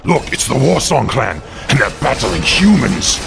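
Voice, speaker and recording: An actor playing a gruff, eager orc warrior in a fantasy game, speaking in an urgent, soldierly way. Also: male voice, mid-range voice, very animated, measured pace, over music, full character voice. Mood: restless.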